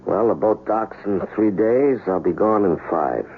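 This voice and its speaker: An actor playing a wary, shrewd small-time crook on the run, terse and guarded, in an old-time radio crime drama. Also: male voice, on the low side, a little slow. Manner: terse, guarded, tough-talking. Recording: muffled, hissy old radio recording.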